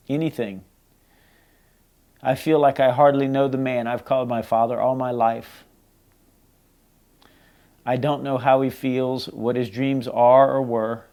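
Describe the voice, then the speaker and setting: Middle-aged male, mellow with vocal fry, reading and reflecting on a personal letter as a son. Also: medium pitch.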